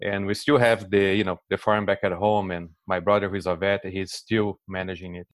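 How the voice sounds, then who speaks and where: A man's voice: low voice, level tone, fairly quick, no echo. A man in his forties from Brazil, speaking with a Brazilian Portuguese accent as a guest expert in a casual interview, explaining things with many fillers and restarts.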